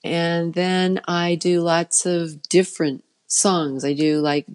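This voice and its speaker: Middle-aged woman with a soft voice and a low register, casual interview guest. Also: level tone, slow pace. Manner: chatty, trailing off and restarting. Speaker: children's musician guest.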